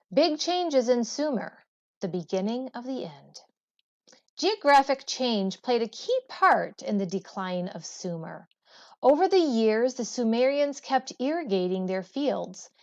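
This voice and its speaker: A woman teacher reading a textbook aloud in a deliberate, phrase-by-phrase way, as educational narration. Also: fairly high pitch, a little mellow. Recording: dull, lo-fi sound.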